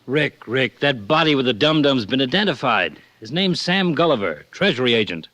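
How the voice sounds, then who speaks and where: An actor playing an irritable, businesslike police lieutenant in a 1940s radio drama. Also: male voice, mid-range voice, big pitch swings, normal speed, sharp tone, dry recording. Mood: urgent, businesslike. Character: irritable male police lieutenant, gruff but loyal friend. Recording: dry vintage 1940s radio recording.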